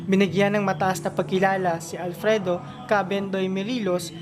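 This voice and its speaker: A woman's voice: mid-range voice, flat delivery, normal speed, music in the background. A woman reading scripted newsreel narration. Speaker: news narrator.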